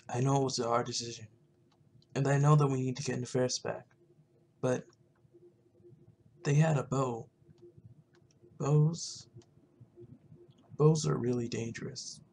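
A man's voice: medium pitch, steady tone, slow pace, vocal fry, long pauses, a little mellow, slight background noise. A man voicing a cautious, earnest young male adventurer in a game roleplay, speaking hesitantly. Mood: regretful, earnest.

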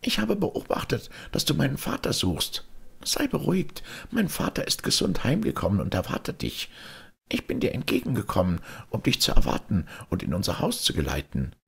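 A man's voice: mid-range voice, very animated, normal speed, vocal fry, husky, voice pushed up, full character voice. A man voicing a small, courteous young male sparrow in a storybook tale, formal and eager. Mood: polite, reassuring.

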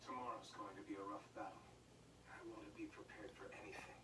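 An actor playing an earnest, devoted young military captain in a dubbed anime drama, played back from a TV across a room. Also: male voice, on the low side, steady tone, fast, roomy sound, recorded from a distance, lightly acted. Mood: earnest, subdued. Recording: TV playback picked up across a noisy room.

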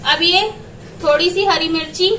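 A woman's voice: extremely high pitch, fairly even intonation, a little slow, far from the mic, noisy background. A woman explaining a recipe step by step as she cooks in her home kitchen. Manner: step-by-step instructing. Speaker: home cook vlogger. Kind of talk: cooking demonstration.

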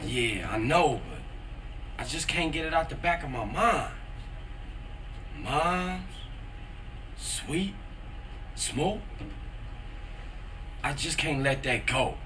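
A man's voice: fairly high pitch, expressive, slow, long pauses, pushed and bright, slight background noise, full character voice. A man playing a young, slangy, blunt American street hustler in a video game, tough but loyal. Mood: brooding, resigned. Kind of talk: video game cutscene acting.

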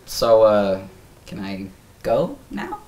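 A man's voice: on the low side, wildly swooping pitch, darkish tone, heavily acted. Man acting a nervous, rambling young small-timer, swooping voice. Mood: flustered, rambling. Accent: American. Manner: slangy, halting rambling. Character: nervous, rambling young male small-time parts buyer. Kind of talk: table read of a film script. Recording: distant mic in a room.

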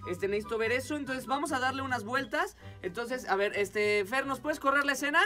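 A man's voice: very high-pitched, brisk, sharp tone, soft background music. A young man with a Mexican accent giving casual instructions as a dubbing coach, often checking in with short questions. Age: young adult. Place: small recording studio.

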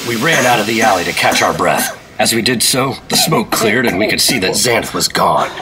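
A man's voice: fairly low pitch, animated, normal speed, very noisy, natural voice. A man playing a determined, wry 1950s government agent in an old-time radio drama, with clipped, hardboiled storytelling. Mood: resolute. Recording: dramatic music behind the voice.

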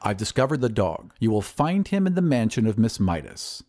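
A man's voice: lowish voice, sing-song, normal speed, a little mellow. A man voicing a poor, lovelorn glassblower in a storybook reading, earnest in manner. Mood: confident.

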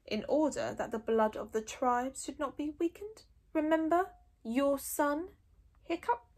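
A man's voice: extremely high pitch, a little slow, pitched above the performer's own voice. A woman voicing a stern, shrewd old male Viking elder. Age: older. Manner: stern, knowing.

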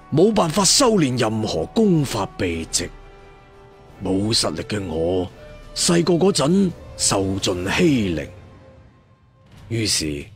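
A man acts out an ancient, proud cultivation master in a Cantonese storybook tale. He speaks very slowly and solemnly, with drawn-out declamatory phrases and swinging pitch, over music. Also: full character voice. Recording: music behind the voice.

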